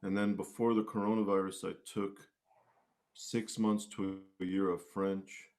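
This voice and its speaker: A man chatting casually as an interview guest, with hesitant, halting phrasing. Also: low voice, level tone, a little mellow. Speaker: guest, musician and martial arts instructor.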